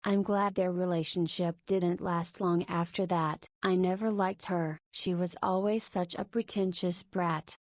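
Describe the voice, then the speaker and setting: A woman in her forties voicing an anxious, possessive single mother in a narrated visual novel, speaking her thoughts in a plain, even way. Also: lowish voice, measured pace, hardly any pauses, no echo, clean studio sound, close to an everyday voice. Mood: fretful. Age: 40s. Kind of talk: scripted visual novel voiceover.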